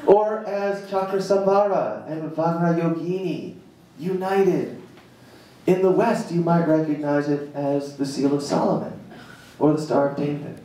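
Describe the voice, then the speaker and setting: A man performs a spoken-word monologue in a very reverberant live venue, picked up from a distance with some noise. His delivery is slow and theatrical, with emphatic pauses and a playful edge. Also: on the high side, crowd noise. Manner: emphatic dramatic pauses, playful asides to audience. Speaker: reader performing a written monologue. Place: indoor jazz club stage, live event. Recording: very echoey live venue, distant mic, noisy.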